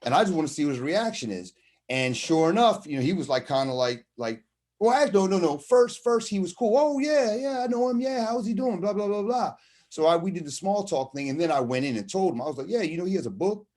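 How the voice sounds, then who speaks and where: A man's voice: high-pitched, very animated, a little mellow. Middle-aged man telling a rambling casual anecdote. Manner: halting, rambling, conversational with slangy asides. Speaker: storyteller explaining a term from experience.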